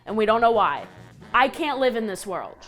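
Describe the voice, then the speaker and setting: A woman's voice: on the high side, very animated, normal speed, over music. A woman with an American accent hosting a scripted comedic countdown of creepy stories, theatrical, sardonic and slangy. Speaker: host of a web countdown show.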